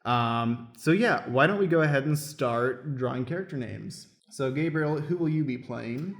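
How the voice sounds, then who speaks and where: A man's voice: mid-range voice, lively intonation, normal speed. Man hosting in an echoey room. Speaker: host and stage manager of improvised radio play. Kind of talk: casual explanatory hosting. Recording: roomy, echoing room sound.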